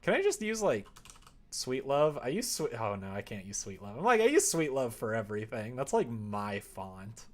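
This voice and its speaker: A man's voice: medium pitch, huge pitch swings, normal speed. A man streaming game development, chatting casually and thinking aloud in a rambling, playful way as he works at his computer. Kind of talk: casual livestream chat while working. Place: indoors at a computer desk.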